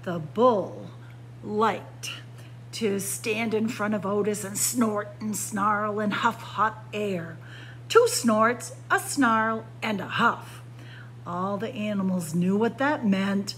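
A woman's voice: mid-range voice, slow, a bit bright, slight background noise, rough recording. A woman reading a children's picture book aloud like a story-time librarian, expressively, with dramatic emphasis on key words.

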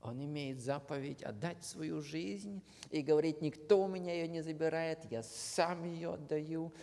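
A man preaching a sermon, slow and earnest, often dropping to a hushed near-whisper at the ends of phrases. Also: fairly high pitch, gravelly. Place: church hall. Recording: long hall reverb.

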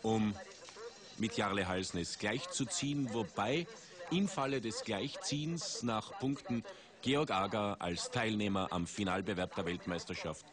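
Man commentating ski racing. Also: on the low side. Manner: measured, rising excitedly at crashes and finishes. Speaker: sports commentator. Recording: 1980s TV broadcast with outdoor noise.

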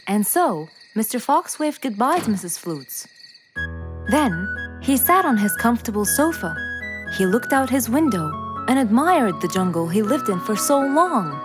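A woman's voice: fairly high pitch, very animated, measured pace. A woman narrator reading a scripted children's story. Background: music.

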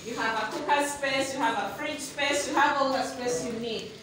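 A young woman with a Ugandan accent and a bright, high voice walks a viewer through bare concrete rooms, pointing things out eagerly. She is recorded by a phone from a few steps away, so her voice echoes hard off the empty walls. A steady wash of site noise sits under her. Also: normal speed, far from the mic. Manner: enthusiastic, showing rooms with wide gestures. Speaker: property sales guide. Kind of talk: walking property tour. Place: unfinished concrete apartment building, indoors and outdoors. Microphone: phone camera held by someone following her, at a distance.

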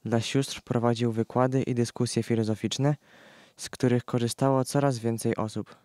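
A man narrates a historical audio play in a medium-pitched voice. The recording is dry and close, with very little room sound. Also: darkish tone, no echo.

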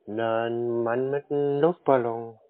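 A man's voice: medium pitch, fairly even intonation, measured pace, narrow, tinny audio. A man speaking as a naive, confused, literal-minded customer in a phone conversation. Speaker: scambaiter playing a naive customer. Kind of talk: slow, bumbling phone conversation. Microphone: telephone call.

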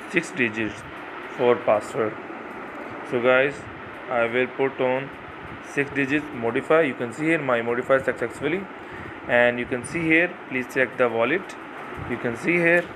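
A man narrating a screen-recorded tutorial, talking haltingly and repeating "you can see here". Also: medium pitch, background noise. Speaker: crypto site walkthrough narrator.

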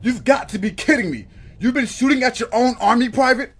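A man's voice: very high-pitched, brisk, husky. A man playing an exasperated, dutiful army colonel in an audio drama, urgent and commanding. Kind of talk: radio drama acting.

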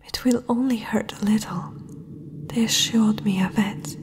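Casting a woman as a spellbound young princess: haughty, hesitant, mid-pitched breathy whisper, very unhurried, fantasy ASMR. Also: fairly even intonation, a little hiss or hum, close to an everyday voice. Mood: entranced, compliant. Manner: slow, hesitant, dreamy delivery. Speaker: ASMR roleplay performer.

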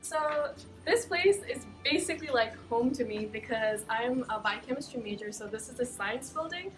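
A woman's voice: a little high, animated, moderate pace, soft background music. A young woman from Nepal and Tibet giving a casual, chatty, emphatic campus tour as a student guide. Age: young adult. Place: indoor hallway and classroom. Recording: echoey room, distant mic, faint noise.

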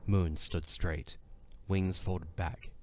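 A man giving a measured, serious narration of a scripted dramatic story. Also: low voice, level tone, soft background music.

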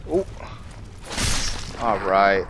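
A man giving casual, halting, explanatory commentary as he hosts a gameplay video. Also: fairly low pitch, animated, noisy background. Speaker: gaming video host. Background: video game ambience.

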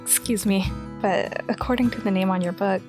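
A woman playing a friendly, eager junior high schoolgirl in an audio drama. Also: steady tone, normal speed, music in the background, lightly acted.

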